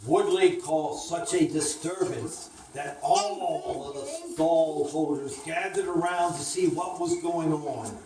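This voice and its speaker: Slow low-voiced woman storyteller, echoey room. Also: a crowd in the background. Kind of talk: children's story reading. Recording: echoey room, distant mic, faint noise.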